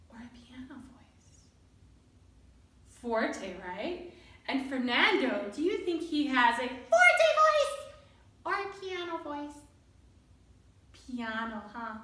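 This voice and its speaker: A woman reading a children's story aloud expressively and deliberately, pausing to put questions to young listeners. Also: sits high, fairly bright tone. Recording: roomy echo, distant mic.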